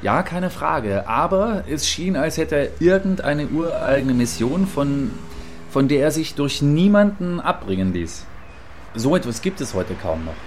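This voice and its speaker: A man's voice: a little high, very animated, unhurried. Man acting an opinionated field reporter, faint background noise. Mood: musing, defensive. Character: opinionated male field reporter, stubborn, quietly admiring, provocative. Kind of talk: radio play live report dialogue.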